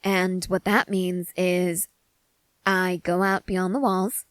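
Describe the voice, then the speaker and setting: A woman's voice: on the low side, little pitch movement, slow pace, clean tone, no room sound. A woman playing an eager, intensely curious researcher-soldier who rambles in precise, analytical sentences in a scripted ASMR roleplay. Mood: earnest, intrigued.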